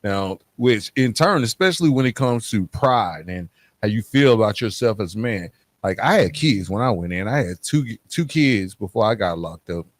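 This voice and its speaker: A man's voice: fairly low pitch, expressive, normal speed, no room sound. A man hosting a podcast, chatting casually as he interviews a guest. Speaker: podcast host.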